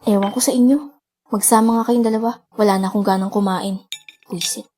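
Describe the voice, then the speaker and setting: A woman's voice: medium pitch, steady tone, brisk, dark tone, slight background noise, close to an everyday voice. A woman playing a grumpy, ungrateful seventeen-year-old girl in a radio drama, with a whining, curt delivery. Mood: annoyed, demanding. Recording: music behind the voice.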